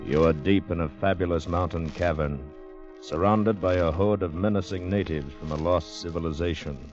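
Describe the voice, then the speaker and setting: A man announcing a vintage radio adventure drama in an ominous, deliberate, scripted manner. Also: low-pitched. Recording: muffled vintage radio recording, music behind.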